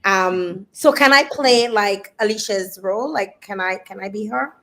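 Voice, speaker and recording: A woman's voice: medium pitch, unhurried, a bit bright, rough recording. A woman hosting a casual live interview, chatting playfully and circling back over her phrases as she builds up a question. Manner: rambling, repeats phrases, playful. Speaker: live show host and interviewer.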